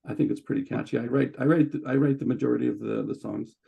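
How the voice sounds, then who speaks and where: A man in his forties, a guest psychologist, talking in a relaxed, hesitant way with many fillers. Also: medium pitch, quick pace, gravelly, husky. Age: 40s. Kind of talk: casual conversation.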